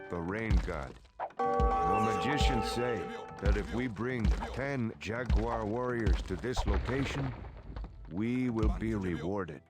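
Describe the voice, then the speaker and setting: An actor playing an earnest Aztec war messenger in a video game, speaking in formal declarations. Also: male voice, lowish voice.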